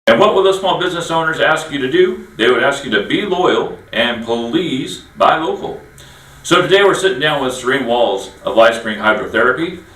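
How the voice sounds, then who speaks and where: A man's voice: medium pitch, expressive, moderate pace, a bit bright. A man hosting a local business interview show, friendly and rambling with many fillers, recorded from a distance in a roomy space. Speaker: interview host.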